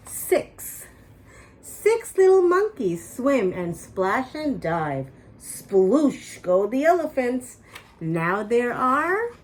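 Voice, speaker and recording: Woman, children's read-aloud host, higher voice, very animated intonation, sing-song rhyming delivery. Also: a little hiss or hum. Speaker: storytime host reading picture books aloud.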